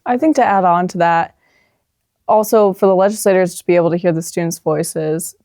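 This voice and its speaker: A woman's voice: fairly low pitch, brisk, mellow, no echo, clean studio sound. A young woman from South Dakota, a college junior who is president of the student government, answering interview questions in an upbeat, confident way. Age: young adult.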